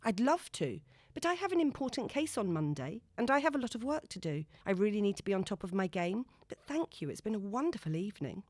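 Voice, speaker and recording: A woman voicing an excitable, lovestruck woman in an audiobook, breathless, gushing and eager to please. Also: medium pitch, fairly quick, dry recording. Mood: coyly polite.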